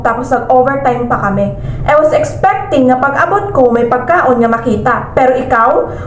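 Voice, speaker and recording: A woman plays a caring, long-suffering young girlfriend in a radio play, speaking earnestly with a Western Visayan Filipino accent. Her voice is on the high side and echoes in a room with faint noise. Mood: exasperated. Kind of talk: amateur radio drama acting. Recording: roomy echo, faint background noise.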